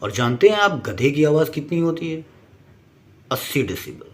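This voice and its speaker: A man's voice: on the high side, big pitch swings, normal speed. A man narrating a scripted explainer in an emphatic, didactic manner. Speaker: presenter.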